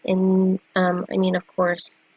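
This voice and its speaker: A woman's voice: lowish voice, completely flat delivery, slow pace, darkish tone, breathy edge, no room sound, highs cut off. A young woman with a stuffy, cold-congested voice, chatting casually about her drawings with many fillers. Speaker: artist vlogger. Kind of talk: casual chat.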